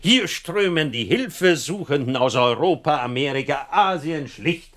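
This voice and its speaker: Effusive psychoanalyst with swinging pitch. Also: male voice, animated, fairly bright tone. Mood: effusively admiring. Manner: effusive, rhetorical, deliberate emphasis. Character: self-assured, probing male psychoanalyst, eloquent and effusive. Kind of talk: German radio drama acting.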